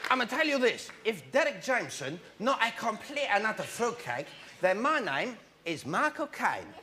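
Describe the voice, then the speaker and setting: High-pitched, cheeky Greek kebab man. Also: sing-song, normal speed, sharp tone, some chatter behind, heavily acted. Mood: exasperated ranting. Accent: Greek with East London slang. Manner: mangled English, malapropisms, cheeky complaining. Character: cheeky, grumbling Greek kebab shop owner in London. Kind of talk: stand-up comedy character monologue. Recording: live stage, very noisy recording.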